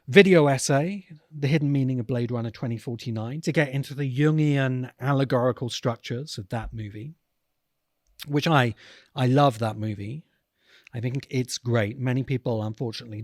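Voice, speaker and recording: A man hosting a podcast, speaking in reflective commentary with an earnest, emphatic delivery and deliberate dramatic pauses. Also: medium pitch, a little mellow, no room sound, studio quality. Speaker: podcast host and video essayist.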